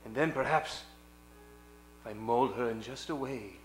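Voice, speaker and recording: A man's voice: mid-range voice, measured pace, lightly acted. A man playing a scheming, pompous villain with a melodramatic delivery in a comic old-time radio play. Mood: brooding scheming. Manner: melodramatic, muttering then bursting out. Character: scheming, pompous male villain who runs a burlesque diner. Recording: echoey room, music behind.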